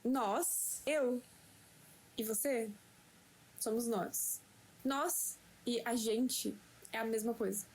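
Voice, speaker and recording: Playful Brazilian woman teaching slowly. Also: a little high, steady tone, a little mellow, poor audio. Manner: slow, patient, playful explaining. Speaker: language teacher for a friend. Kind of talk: casual home-made lesson.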